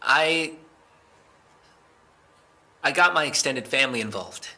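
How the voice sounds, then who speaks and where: An actor playing a defensive young man in an audio drama, halting and sweary, insisting he is innocent. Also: medium pitch, lively intonation, pushed and bright, exaggerated voice. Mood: uneasy, confessing. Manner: halting, sweary, emotional delivery.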